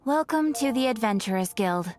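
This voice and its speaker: A woman voicing a courteous guild receptionist in an anime game, formal and measured. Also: a little high, expressive, darkish tone, soft background music, close to an everyday voice. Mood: courteous, businesslike.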